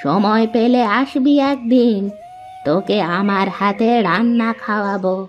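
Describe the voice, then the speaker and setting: Woman playing a slow-spoken old forest granny. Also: medium pitch, close to an everyday voice. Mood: consoling. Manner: slow, probing, motherly. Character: kindly old forest-dwelling woman, inquisitive, comforting. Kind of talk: Bengali moral-story cartoon voice acting. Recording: dull lo-fi sound, music behind.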